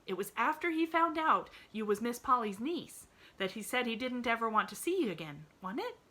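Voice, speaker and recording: A woman's voice: on the high side, very animated, normal speed, pushed and bright, voice pushed up, exaggerated voice. A woman doing a gushing country housemaid. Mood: excited. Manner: country dialect, gushing and breathless. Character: excitable young rural housemaid, gossipy.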